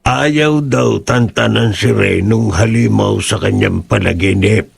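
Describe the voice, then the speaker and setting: An actor playing a concerned older father, a man with a raspy voice and a serious, hesitant manner. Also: on the low side, a little slow, full character voice. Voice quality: gravelly, raspy. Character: concerned, gentle older father of grown daughter.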